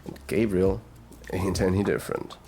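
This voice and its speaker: A man playing a gruff, sardonic detective in an audio roleplay drama, drawling and murmuring through his pauses. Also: low-pitched, level tone, warm tone, a little hiss or hum. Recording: music behind the voice.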